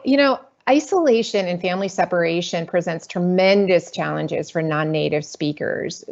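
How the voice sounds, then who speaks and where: Low-voiced woman moderator, dull audio. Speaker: webinar moderator. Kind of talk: webinar panel discussion.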